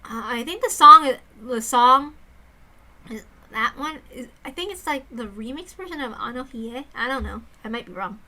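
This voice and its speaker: A woman's voice: on the high side, lively intonation, moderate pace, bright, forceful tone. A woman reading a visual novel aloud, mixing dramatic narration with casual asides.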